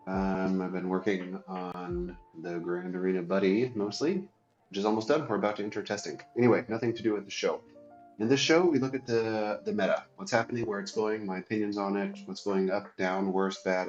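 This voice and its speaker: Stuffy-voiced man with a low voice. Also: echoey room, highs cut off. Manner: rambling, many ums and restarts. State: stuffy from a cold. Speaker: show host and competitive player. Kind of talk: casual solo commentary.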